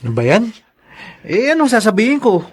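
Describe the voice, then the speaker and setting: High, swooping, cheeky Filipino jokester. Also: male voice, huge pitch swings, a little fast, breathy edge, exaggerated voice. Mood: wry. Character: mischievous, cheeky friend full of silly schemes. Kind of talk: Filipino radio drama acting.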